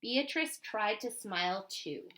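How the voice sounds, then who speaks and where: A woman reading a children's story aloud expressively, with dramatic emphasis and pauses. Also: mid-range voice, big pitch swings, fairly bright tone. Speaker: storybook reader.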